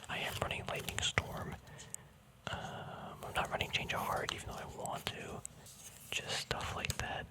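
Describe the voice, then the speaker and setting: A man whispers throughout, with no real voice behind the breath. He chats in a relaxed, informal ASMR manner, rambling softly as he handles trading cards. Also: low-quality recording. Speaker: ASMR card game vlogger.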